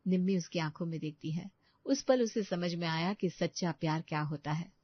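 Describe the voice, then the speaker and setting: A woman's voice: fairly low pitch, normal speed, no room sound, lo-fi sound. A woman reading a scripted story as its narrator.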